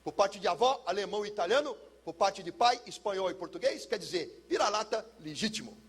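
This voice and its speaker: A Brazilian man speaking as a pastor, telling a personal testimony in an emphatic, theatrical way with deliberate repetition. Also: high-pitched, animated, a little hiss or hum. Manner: emphatic, theatrical, uses pauses and repetition for effect. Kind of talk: personal testimony, dramatic storytelling to listeners.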